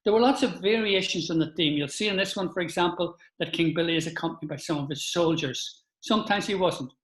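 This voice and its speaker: A man's voice: sits high, fast, fairly bright tone, reverberant. An older man from Northern Ireland with a Northern Irish accent, giving an informal talk with a slideshow, chatty, with restarts and asides to his listeners.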